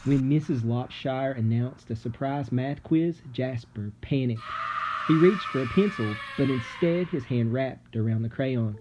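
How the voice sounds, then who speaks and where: A man reading a children's story aloud dramatically, with suspenseful, theatrical delivery and deliberate pauses. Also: medium pitch, warm tone, slight background noise, poor audio. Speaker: storybook narrator. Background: music.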